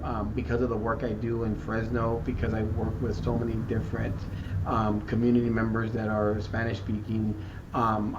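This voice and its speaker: A man from South Texas answering interview questions thoughtfully, often repeating words and pausing with "um" as he searches for the right term. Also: medium pitch. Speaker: school district equity chief. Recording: muffled, distant, faint background noise.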